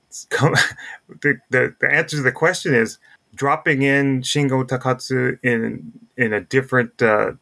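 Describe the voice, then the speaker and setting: A man with a mid-pitched voice talks through sports opinions in a casual discussion. He sounds like a podcast co-host, conversational and reasoning out loud as he goes. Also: vocal fry.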